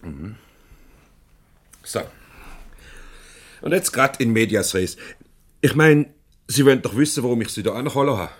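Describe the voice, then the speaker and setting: An actor playing a self-assured, probing company director with a measured, jovially formal manner in a Swiss German radio comedy. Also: male voice, mid-range voice, expressive, husky. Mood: businesslike. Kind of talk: 1970s comedy radio play acting.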